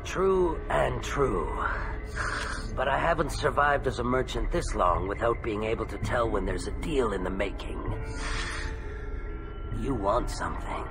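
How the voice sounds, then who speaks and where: A man playing a shifty alien merchant in a video game, nervous and wheedling, with a halting, oily way of talking. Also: medium pitch, lightly acted, low-quality recording. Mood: sly, cautious. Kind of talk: video game character acting. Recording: loud music behind.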